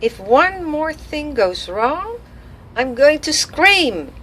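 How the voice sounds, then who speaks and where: A woman's voice: high voice, sing-song, takes it slowly, pushed and bright, pitched above the performer's own voice, heavily acted. A woman voicing a stressed, grumpy older female receptionist who complains in exasperation. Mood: exasperated.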